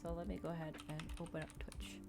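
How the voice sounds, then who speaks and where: A woman's voice: deep voice, flat delivery, fast, creaky, over music. A woman hosting a livestream, chatting casually with viewers in a rambling way, often repeating and restating her phrases. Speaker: VTuber livestream host.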